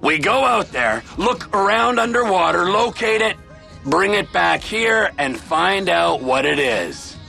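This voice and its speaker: An actor playing a volatile, crude middle-aged male criminal in a video game, mocking and domineering, swinging from mock-gentle to barking. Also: high voice, animated, measured pace, gravelly, faint music behind, heavily acted. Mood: businesslike scheming. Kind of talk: video game cutscene acting.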